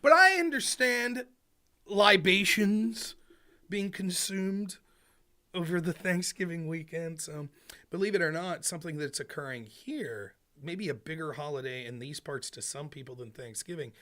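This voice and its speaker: A man from rural Pennsylvania hosting a call-in talk show, explaining in a relaxed, rambling way with fillers. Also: fairly high pitch, unhurried. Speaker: show host.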